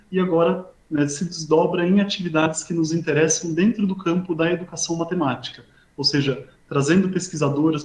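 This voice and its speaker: A man's voice: fairly high pitch, a little mellow. A man with a Brazilian accent, a professor courteously hosting and introducing an academic event in semi-formal speech. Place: indoors, online video call. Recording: roomy, echoing voice on online stream.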